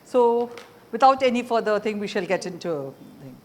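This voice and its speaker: A woman's voice: medium pitch, big pitch swings, normal speed, darkish tone, slight background noise. A woman hosting a conversational interview. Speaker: interview show host.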